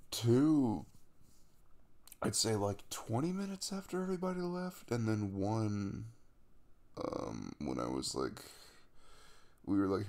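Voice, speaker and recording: A man acts out a caring young man in an intimate ASMR roleplay, talking to his crush. His low voice crackles with fry and swings widely in pitch. He is casual and hesitant, pausing as he reassures. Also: exaggerated voice. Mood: bashful, casual. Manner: hesitant, reassuring, with soft chuckles and trailing pauses.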